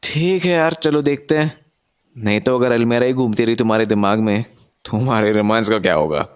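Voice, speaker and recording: A man's voice: medium pitch, fairly quick, smooth, natural voice. An actor playing an easygoing, dismissive husband and young father in a horror audio drama, speaking colloquially and telling the story. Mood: teasing, indulgent. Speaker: actor and first-person narrator. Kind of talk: Hindi horror audio drama, acted dialogue and narration.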